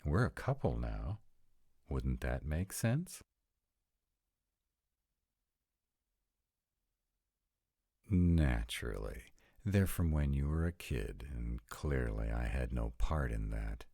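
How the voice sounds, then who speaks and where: A man's voice: rumbling low, animated, unhurried, creaky, mellow, breathy edge, no room sound, full character voice. A man voicing a sarcastic, exasperated vampire in a scripted roleplay audio, talking to his partner with dry, emphatic delivery. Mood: hopeful, pleading. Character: sarcastic male vampire, exasperated, anxious about in-laws.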